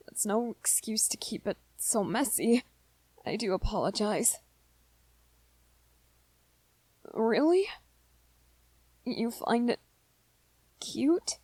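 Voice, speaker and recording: A woman acts out a gentle young vampire boyfriend for an ASMR roleplay. He speaks very slowly and softly, hesitating and stammering as he anxiously apologizes. The sound is close and dry. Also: medium pitch, no echo. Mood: flustered, apologetic. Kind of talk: ASMR roleplay, scripted one-sided dialogue to listener.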